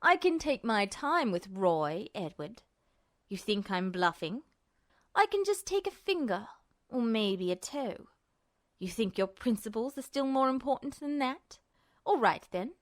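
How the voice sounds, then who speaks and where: A smug, sadistic man, as a woman narrator puts him on in an audiobook. The voice drops low and soft for drawn-out, taunting threats. It is close and dry, cold and menacing. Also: big pitch swings, no echo.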